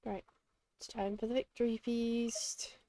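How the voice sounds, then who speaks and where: Mellow-voiced woman streamer, offhand. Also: fairly high pitch, flat delivery, measured pace. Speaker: game streamer. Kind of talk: casual offhand gameplay commentary.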